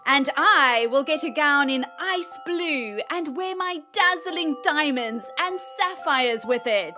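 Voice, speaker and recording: Gushing, vain young cartoon stepsister, extremely high voice, slow drawn-out delivery. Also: female voice, animated, sharp tone, soft background music, exaggerated voice. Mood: excited, gushing. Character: excitable, vain young stepsister in a fairy tale. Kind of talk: children's cartoon voice acting.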